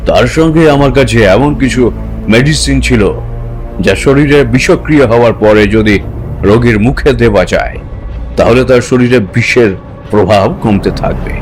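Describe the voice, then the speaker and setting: A man's voice: medium pitch, lightly acted. A man playing a knowledgeable, practical expedition companion in an audio drama, speaking seriously. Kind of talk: dramatised audio story acting.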